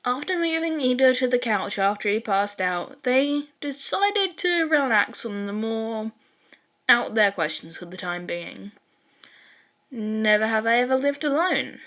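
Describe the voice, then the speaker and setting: A woman narrating fan fiction in an expressive amateur audiobook style, with animated dramatic asides. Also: on the high side, a little slow. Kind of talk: expressive amateur audiobook reading of a story, with dramatized character lines.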